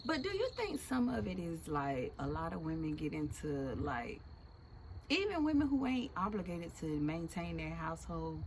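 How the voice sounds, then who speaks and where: A woman in her forties chatting casually and laughing loudly with an old friend for her video. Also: lowish voice, normal speed, slight background noise. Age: 40s. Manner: loud laughing, expressive, slangy. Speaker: vlog host.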